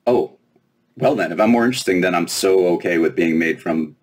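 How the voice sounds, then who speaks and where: Guy reading as a puzzled young giant girl in a kids book, touchy, low rough-edged voice. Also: brisk. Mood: annoyed. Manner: hesitant, sarcastic.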